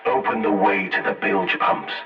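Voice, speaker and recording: Very deep, distant, echoing machine voice. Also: male voice, fairly even intonation, normal speed. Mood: ominous command. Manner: grave, commanding, portentous. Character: sinister machine intelligence guiding its maker, solemn and commanding. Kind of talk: scripted horror game acting. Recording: dull, distant, echoing telephone transmission with music.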